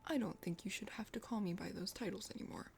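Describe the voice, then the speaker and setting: A woman voicing a proud but shy young male hunter prince in a visual novel, with a haughty, halting manner. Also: mid-range voice, gravelly, darkish tone, in a whisper, pitched below the performer's own voice, lightly acted. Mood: bashful. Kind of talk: visual novel character voice-over. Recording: music under the voice.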